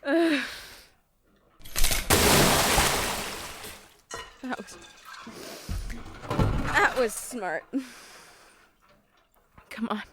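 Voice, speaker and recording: A woman playing a dazed, frightened, injured kindergarten teacher in a horror audio drama, speaking in halting fragments. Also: a little high, expressive, heavy background noise. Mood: dazed, confused. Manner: halting, breaking sentences into fragments.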